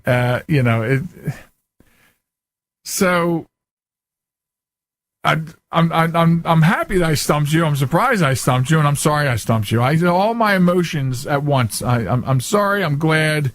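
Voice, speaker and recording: A man hosting a casual live call-in radio show, talking haltingly and often repeating his words. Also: on the high side, animated, fairly bright tone, no room sound.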